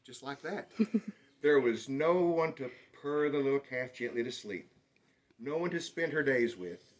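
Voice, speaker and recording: A man reading a children's picture book aloud in an expressive, dramatic storytelling manner. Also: medium pitch, a little slow. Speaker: storybook reader.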